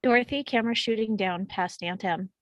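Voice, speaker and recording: A woman's voice: medium pitch, fairly quick, no room sound. A woman reading out screenplay stage directions as scripted narration.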